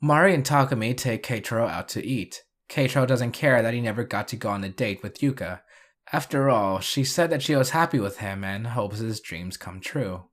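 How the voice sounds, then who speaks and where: A man's voice: medium pitch, animated, moderate pace. Man narrating with dry sarcasm. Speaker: video essay narrator. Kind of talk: scripted review and recap narration.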